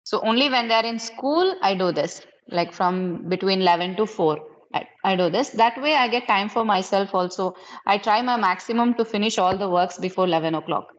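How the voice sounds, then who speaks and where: A woman's voice: medium pitch, brisk, muffled recording. A woman in her thirties from Karnataka whose first language is Kannada. She speaks English with an Indian accent and leads a patient, question-by-question conversation practice. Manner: patient, asks many simple questions.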